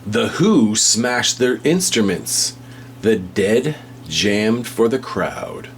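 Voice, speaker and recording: A man reading a children's picture book aloud as a storybook reader, with deliberate, measured phrasing. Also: fairly low pitch, lively intonation. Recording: hum and faint background noise.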